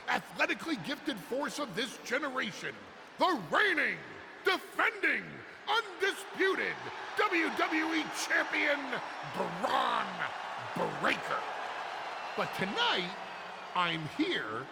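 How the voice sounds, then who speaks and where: Casting: scheming, pompous wrestling manager in a game; high, slow, theatrical oratory over noisy arena ambience. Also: male voice, gravelly, exaggerated voice. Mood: grandiose boasting, then scornful. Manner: grandiose, theatrical oratory with drawn-out emphasis. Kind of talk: dramatic in-ring wrestling promo.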